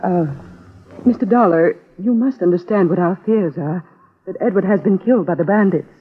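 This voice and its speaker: An actress playing an anxious, hesitant wealthy planter's wife in a 1950s radio drama. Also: female voice, fairly low pitch, moderate pace, slightly rough. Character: anxious wealthy planter's wife awaiting news of her husband. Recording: tinny hissy old radio broadcast.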